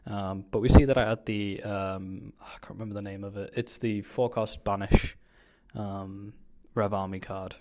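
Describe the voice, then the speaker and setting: A man's voice: lowish voice, fairly even intonation, moderate pace, darkish tone. A man giving casual, informal voice-over commentary on a card game he is playing, explaining moves in slangy language. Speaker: card game player commentating his own match.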